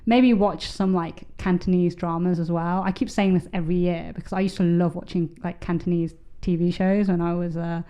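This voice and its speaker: A woman's voice: lowish voice, steady tone, a little fast, hardly any pauses, muffled recording. A woman co-hosting a podcast in casual chat, rambling with many fillers and restarts. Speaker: podcast co-host.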